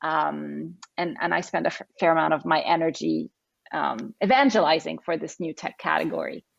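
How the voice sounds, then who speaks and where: A woman from Egypt answers panel questions in an earnest, thoughtful way and often restarts her sentences. Her voice sits a little low and has a gravelly fry to it. The audio is dull and dry. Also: moderate pace, no echo. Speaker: tech company CEO, panelist.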